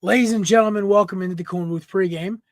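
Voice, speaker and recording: A young man hosting a casual sports podcast from home, a little raspy from smoke, rambling and clearing his throat often. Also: sits high, fairly even intonation, fast, no room sound, studio quality. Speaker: podcast host. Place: room at home. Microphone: computer mic, no headset.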